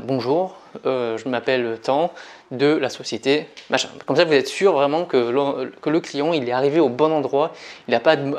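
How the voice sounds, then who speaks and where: A man presents a lesson as a teacher would, at an average pitch. He explains things step by step in an informal, conversational register. He puts clear emphasis on the key points. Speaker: teacher, presenter of business-course revision videos.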